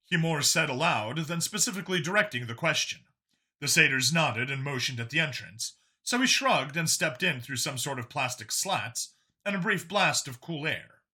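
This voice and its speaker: Bright, forceful male story narrator. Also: on the high side, husky. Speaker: audiobook narrator. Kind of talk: scripted fiction narration.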